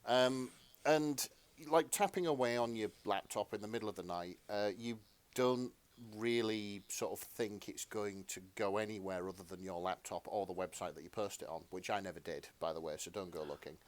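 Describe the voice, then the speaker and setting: A man co-hosting a podcast in casual, comedic chat, talking in a halting, rambling, self-deprecating way. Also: fairly low pitch. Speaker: podcast co-host.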